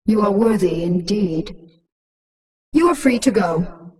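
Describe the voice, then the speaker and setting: A woman's voice: mid-range voice, expressive, slow pace, a little mellow, recorded from a distance. A woman playing a cruel, imperious alien swarm queen in a video game, commanding and taunting. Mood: gloating, scornful.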